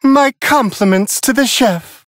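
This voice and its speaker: A man voicing a serene, refined angel attendant who gives polite, flowery, amused fight commentary in a video game, in a high, airy voice. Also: measured pace, a bit bright, no room sound, clean studio sound. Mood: impressed, courtly. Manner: polite, flowery, genteel phrasing.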